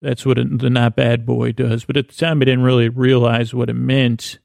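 A man hosting a podcast, telling a meandering, deadpan improvised comic monologue in a creaky voice, full of asides and restarts. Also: mid-range voice, moderate pace, dry recording, studio quality. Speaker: podcast host. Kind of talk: rambling improvised comedic monologue.

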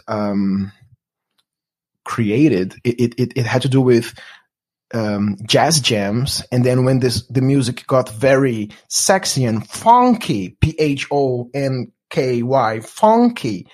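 A man's voice: mid-range voice, takes it slowly, a little mellow. A man from Brazil with a Brazilian Portuguese accent, talking in a casual, reflective way with many restarts and fillers. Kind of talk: casual conversation.

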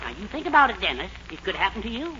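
A man's voice: sits high, sing-song, very fast, bright, forceful tone, heavily acted. An actor playing a genial, wry, middle-aged fatherly American man in a 1940s radio comedy. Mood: wry, advising. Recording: narrow-band old radio recording, faint noise.

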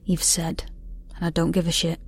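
A woman's voice: lowish voice, monotone, normal speed, husky. An actress playing a wary, defiant young woman in a dystopian audio drama, in both dialogue and intimate narration. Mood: bitter, defiant. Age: young adult.

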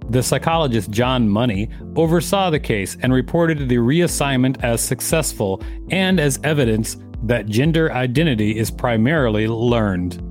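A man's voice: medium pitch, lively intonation, normal speed, hardly any pauses, faint music behind. A man podcast co-host reading an encyclopedia article aloud and adding commentary.

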